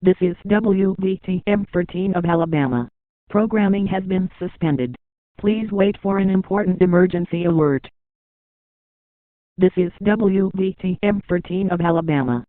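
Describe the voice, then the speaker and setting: High-pitched male alert announcer, phone-like. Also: dry recording. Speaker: TV station emergency announcer. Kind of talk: scripted emergency broadcast announcement. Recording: narrow phone-like band, dry.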